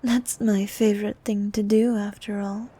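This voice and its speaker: A woman voicing a vain, flirtatious sea queen monster in an ASMR roleplay, soft and half-whispered, with a regal, teasing manner. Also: mid-range voice, little pitch movement, slightly rough, natural voice. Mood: teasing, haughty. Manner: regal, teasing, slow and coaxing.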